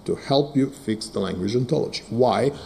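A man's voice: mid-range voice, moderate pace, vocal fry, warm tone, a little hiss or hum. A man whose first language is Serbo-Croatian, giving a technical presentation with many fillers and frequent "right?" checks. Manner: hesitant, many fillers, asks "right?" often.

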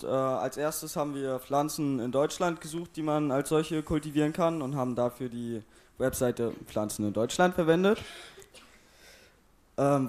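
A man presenting where his team got its data and images, steady with small fillers. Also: fairly high pitch. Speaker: student presenter. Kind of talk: project presentation.

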